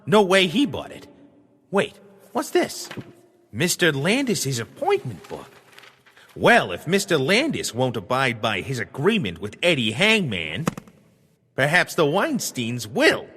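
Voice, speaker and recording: An actor playing a cocky, boastful small-time gangster with a brash, streetwise manner in a pulp radio drama. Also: male voice, fairly high pitch, huge pitch swings, unhurried, fairly bright tone, husky, full character voice. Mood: scheming, boastful.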